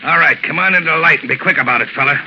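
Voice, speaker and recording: A man's voice: a little high, rapid-fire, lightly acted. A man playing a jittery, tough-talking crook in a 1930s radio drama, with clipped gangster slang. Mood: defiant, threatening. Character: jittery, tough-talking male escaped crook, gun-ready henchman. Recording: noisy, muffled 1930s radio broadcast.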